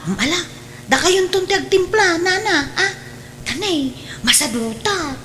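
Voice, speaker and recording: A woman's voice: very high voice, animated, unhurried, pushed and bright, a little hiss or hum, full character voice. A woman playing a cheerful, cheeky young housemaid in an Ilocano radio drama. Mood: insistent.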